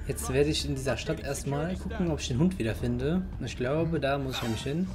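Slightly hoarse let's play guy. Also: medium pitch. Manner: relaxed, thinking aloud. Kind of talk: casual gameplay commentary.

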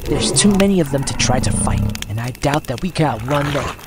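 A man's voice: mid-range voice, very animated, fairly quick, lightly acted. A man playing an earnest, cautious boy hero, speaking urgently in an acted audiobook. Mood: anxious, urgent. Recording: clear ambient background noise.

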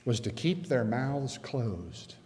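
An older man preaching a sermon from a church pulpit, earnest and measured, with slow emphatic pauses. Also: mid-range voice, sing-song, darkish tone. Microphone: pulpit stand mic. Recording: long reverb of a church hall.

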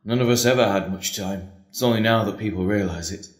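A man playing an earnest, patient priest hearing confession in an audio drama, measured and consoling. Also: on the low side, lightly acted. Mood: gentle, firm. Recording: echoey room.

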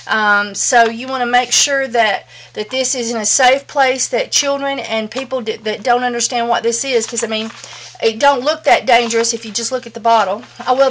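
A woman's voice: fairly high pitch, a bit bright, slight background noise. A middle-aged woman with a Southern US accent, chatty and folksy, demonstrating soapmaking at home and calling her viewers "y'all.". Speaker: soapmaking instructor. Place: home soap room, indoors.

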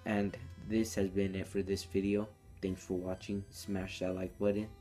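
A man's voice: low voice, little pitch movement, moderate pace, warm tone, faint music behind. Teenage guy telling a casual story. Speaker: vlogger telling stories. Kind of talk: casual storytime told to camera. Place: small room indoors.

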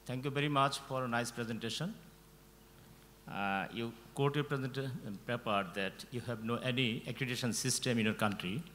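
A man's voice: mid-range voice, a little fast, fairly bright tone. A man with a Bangladeshi accent asking a question from the audience at a conference. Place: large conference hall audience. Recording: noisy, humming reverberant auditorium.

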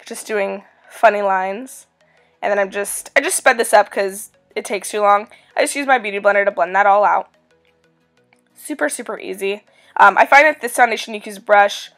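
A young woman in her twenties with a raspy voice from a cold, chatting upbeat through a makeup tutorial as a beauty vlogger. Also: medium pitch, moderate pace, a bit bright, soft background music.

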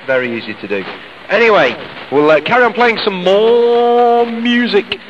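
A man's voice: high-pitched, lively intonation, moderate pace, muffled recording, slight background noise. A British man presenting live radio in a chatty, informal, ad-libbed way, broadcast outdoors over shortwave. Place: outdoors in the countryside. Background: loud music.

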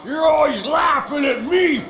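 Shouting, high-pitched dim-witted brute. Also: male voice, a faint crowd. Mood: gloating. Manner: bellowing, slow-witted. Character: huge dim-witted rhino-suited villain, childishly boastful. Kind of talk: cartoonish video game acting. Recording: echoey room, faint noise.

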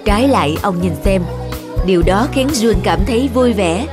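A composed, kindly man running a restaurant in a cartoon played by a woman. He talks with understanding, and music is clearly audible behind him. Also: medium pitch, lively intonation, normal speed, close to an everyday voice. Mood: kindly explaining. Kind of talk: cartoon dub acting.

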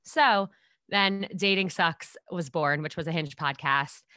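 A woman's voice: fairly low pitch, measured pace, bright, forceful tone, no echo, studio quality. A woman in her twenties from New York, a podcast guest telling stories in casual chat and acting out what people said. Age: 20s. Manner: bubbly storyteller, acts out quotes.

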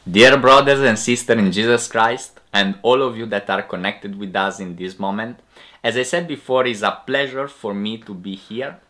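A man's voice: on the low side, normal speed, bright, forceful tone. A young man in his twenties from Italy, a native Italian speaker with an Italian accent, preaching a sermon as an evangelist. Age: 20s. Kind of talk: sermon addressed to camera. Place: indoor room with bookshelves.

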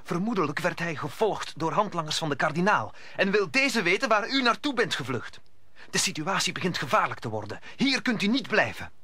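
A man's voice: high voice, very animated, fairly quick, bright, forceful tone, dry recording, full character voice. A man playing a gallant, earnest young musketeer in a radio play, declaiming his lines. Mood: earnest, courteous.

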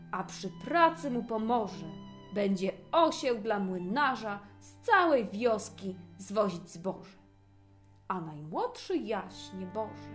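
A woman narrating a fairy tale in verse, with an expressive, measured storytelling delivery. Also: medium pitch.

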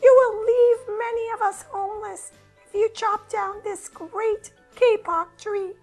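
A woman voicing a tiny, earnest male tree frog in a storybook, piping and squeaky. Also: steady tone, measured pace, a little mellow, faint music behind. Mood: pleading.

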